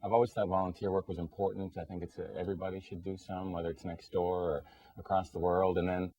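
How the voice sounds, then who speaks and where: A man's voice: sits low, little pitch movement, fast talker, warm tone, breathy edge, poor audio. A man speaking earnestly and conversationally as a guest answering interview questions.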